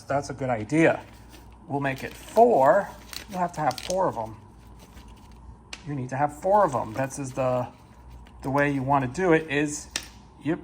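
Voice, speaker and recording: Halting man thinking aloud over noise. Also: mid-range voice. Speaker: hobbyist repairer presenting own video. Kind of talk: casual unscripted how-to narration while working.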